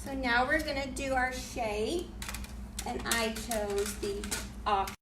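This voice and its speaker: A woman's voice: on the high side, slow pace. A woman leading a children's library storytime and reading aloud, talking in simple, encouraging, teacherly phrases. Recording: roomy, distant mic, faint noise.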